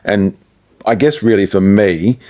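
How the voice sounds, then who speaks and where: A man's voice: on the low side, unhurried, darkish tone. An Australian man, a radio presenter, chatting casually as an interview guest in a rambling, reflective, anecdotal way. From Perth, Australia.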